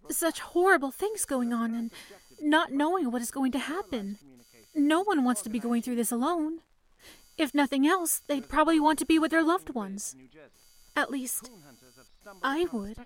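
A woman playing a polite, anxious young telephone operator in an old-fashioned radio drama, with courteous, soft-spoken reassurance. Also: sits high, lively intonation, a little slow, rough recording. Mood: sympathetic. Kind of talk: 1940s radio drama acting.

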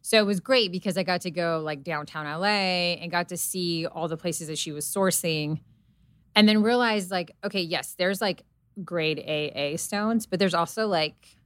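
Woman guest, middle-aged, low and smooth, loose filler-heavy storyteller, dry sound. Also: moderate pace, fairly bright tone, no room sound. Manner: rambling, filler-heavy storytelling with quoted inner thoughts. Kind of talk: casual chat.

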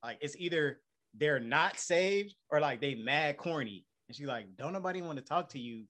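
A man of thirty in casual chat, relaxed and using slang. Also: a little high, moderate pace. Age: 30s. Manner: relaxed, laid-back slang.

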